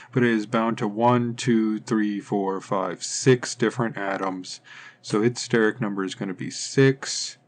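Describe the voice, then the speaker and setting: A man teaching chemistry in an informal, step-by-step lecture style, methodically thinking aloud as he works through things. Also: lowish voice.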